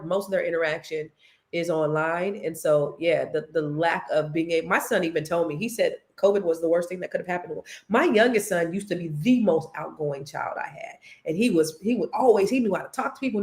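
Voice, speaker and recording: A woman from California co-hosting a talk show, bantering playfully and reading out viewer comments. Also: on the low side. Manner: teasing, animated, reads viewer comments aloud. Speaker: co-host. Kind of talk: casual playful banter with a co-host.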